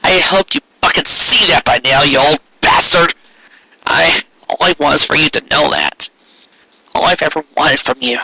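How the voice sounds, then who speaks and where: A man's voice: on the high side, normal speed, pushed and bright, breathy edge, exaggerated voice, rough recording. A man voicing a gangly, earnest stallion son-in-law, nervous but fiery, with a stammering, impassioned delivery in a fan fiction story. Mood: pleading. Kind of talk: amateur fan fiction audio reading.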